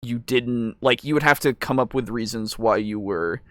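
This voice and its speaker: A man with a bright, forward voice in a dry recording hosts a casual gaming discussion. He thinks out loud, halting and rambling, and stays agreeable. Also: medium pitch, no echo. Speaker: podcast host. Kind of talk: casual chat about tabletop role-playing.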